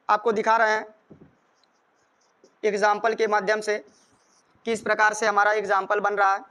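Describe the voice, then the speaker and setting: An Indian man teaches in a strikingly high, clear voice. His delivery stays fairly even in tone as he explains grammar step by step, repeating each point for students. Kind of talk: grammar lesson, explaining rules with examples.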